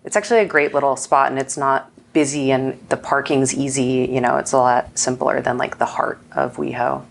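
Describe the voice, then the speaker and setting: A woman's voice: extremely low pitch, steady tone, brisk, vocal fry, slight background noise. A woman speaking casually as an interview guest, informal and peppered with "like" and "you know". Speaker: film festival director, interview guest.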